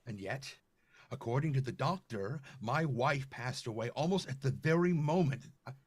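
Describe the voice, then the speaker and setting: A man's voice: mid-range voice, animated, moderate pace, breathy edge, no room sound. A man playing an anxious, confused, stammering widower in an old-time radio horror play. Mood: brooding, confused. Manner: stammering, theatrical.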